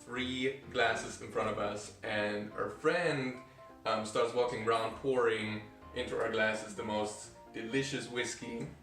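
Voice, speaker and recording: Casual vlogger guy, mid-pitch and easygoing, roomy echo, mic a bit far off. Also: soft background music. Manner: chatty, animated, doing quick impressions of others. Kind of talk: casual storytelling vlog.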